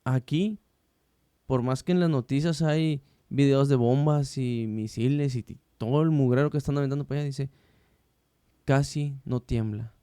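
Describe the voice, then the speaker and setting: A man's voice: medium pitch, moderate pace, mellow, clear, resonant tone, dry recording, clean studio sound. A man hosting a casual faith podcast, chatty and slangy, with many restarts. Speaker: podcast host.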